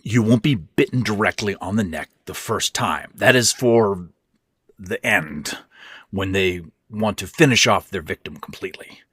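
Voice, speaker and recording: Man voicing a menacing, husky old man, forceful and growling. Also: on the low side, unhurried, no echo. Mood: explaining, impatient. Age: older. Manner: hissing, growling, emphatic, commanding. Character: exhausted, sick old man in wheelchair, menacing, irritable tyrant. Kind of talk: dramatic horror fiction reading.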